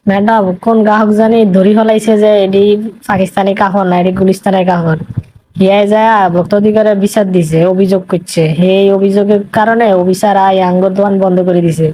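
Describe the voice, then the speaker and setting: A woman's voice: medium pitch, fairly even intonation, quick pace, mellow, slight background noise, natural voice, poor audio. A woman playing an anxious, pleading shop employee in a comic cartoon, deferential, with a regional Bangladeshi Bengali dialect. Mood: alarmed, pleading.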